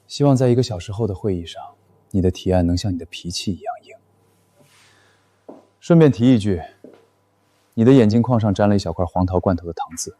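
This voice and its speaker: A man playing an aloof, exacting company director in an animated short drama, speaking formally with dry, cutting remarks. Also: lowish voice, fairly quick, long pauses, no room sound, lightly acted. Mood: cool, dry.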